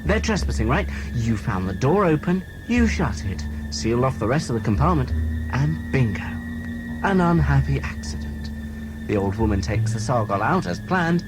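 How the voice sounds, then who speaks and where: A man's voice: mid-range voice, sing-song, normal speed, music in the background, natural voice, poor audio. A man playing a ruthless, scheming smuggler in an audio drama, with an edgy, conspiratorial manner.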